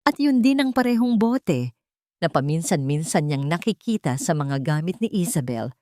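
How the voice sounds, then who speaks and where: A woman's voice: deep voice, very animated, moderate pace, warm tone, dry recording, clean studio sound. A woman reading a scripted, dramatic romance story as its narrator.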